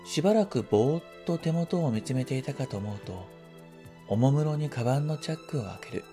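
A man reading a first-person literary story as scripted narration, in a quiet, reflective, measured manner. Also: medium pitch, expressive, soft background music. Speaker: story reader.

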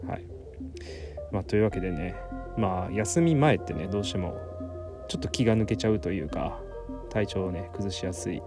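A man's voice: sits low, lively intonation, a little fast, pauses often. A man hosting a casual, rambling solo talk in a giggly, self-amused manner. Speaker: host of a solo talk show. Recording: music playing behind the voice. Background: background music.

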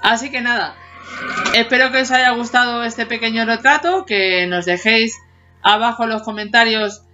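A woman's voice: a little high, normal speed, sharp tone. A woman in her forties co-hosting a podcast, chatting casually, laughing often and humming bits of old tunes. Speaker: podcast co-host. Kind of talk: casual chat about childhood TV songs. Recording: dull lo-fi sound with faint music behind.

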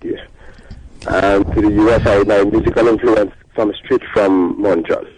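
A man from Dominica, a reggae musician, answering questions in a radio interview in an earnest, halting way. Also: fairly low pitch, level tone, a little mellow, a little hiss or hum, rough recording.

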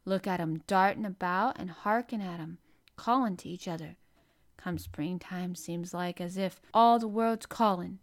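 Woman doing a cheerful Yorkshire country boy, Dickon. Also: fairly low pitch. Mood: enthusiastic. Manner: slow, broad rustic drawl.

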